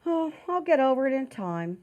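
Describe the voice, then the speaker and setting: A woman's voice: high voice, sing-song, moderate pace, a little mellow, highs cut off. A woman playing a timid, romantic, deferential housemaid in an old-time radio sitcom. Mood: meek, dreamy.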